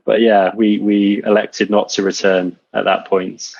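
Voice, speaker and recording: A man in his thirties with a British accent, raised in Wales, speaking as a podcast guest in a relaxed, easygoing way. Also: on the low side, unhurried, creaky, slightly rough, no room sound. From born in Serbia, raised in Wales. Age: 30s. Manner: relaxed, easygoing, hesitant fillers. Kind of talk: casual interview chat. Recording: slightly muffled dry podcast audio.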